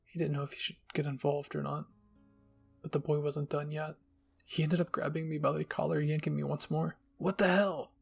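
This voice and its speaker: Male story narrator and host, slightly high, raspy, light music underneath. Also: monotone, a little fast, a little mellow. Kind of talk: scripted first-person story narration.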